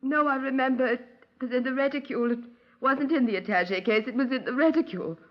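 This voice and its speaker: An actress plays a grieving British middle-class woman in a 1950s radio drama. Her voice sits high, and she rambles effusively and melodramatically about her loss. The old recording is slightly dull. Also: fast talker, natural voice. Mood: rambling, upset.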